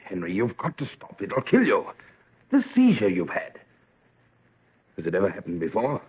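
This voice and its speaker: A man's voice: on the low side, huge pitch swings, normal speed. An actor playing a worried, commanding father of a scientist in an old-time radio drama, urgent, imploring and firm. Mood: anxious, imploring. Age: middle-aged or older. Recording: muffled, band-limited vintage radio recording.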